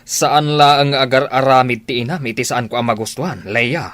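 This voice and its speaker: A man's voice: medium pitch, moderate pace, fairly bright tone, lightly acted. A man acting a domineering, suspicious husband in a radio drama, contemptuous and boastful. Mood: critical, nagging.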